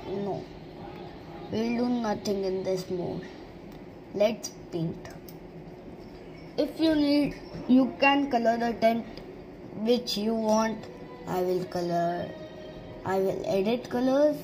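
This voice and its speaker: A woman's voice: a little high, slow, pauses often, low-quality recording. A woman giving a step-by-step digital drawing tutorial, speaking in simple, short, broken instructions over a screen recording. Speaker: art teacher. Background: background music.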